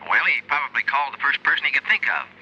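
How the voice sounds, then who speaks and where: A man's voice: medium pitch, lively intonation, fast, pushed and bright, slight background noise. An actor playing a chatty, curious night-desk newsman in a 1950s radio drama. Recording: dull vintage radio broadcast.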